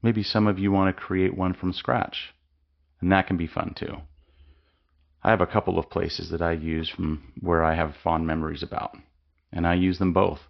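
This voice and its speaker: A man leading a guided mindfulness meditation with slow, calm, soothing instructions and long pauses. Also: low-pitched, vocal fry, highs cut off.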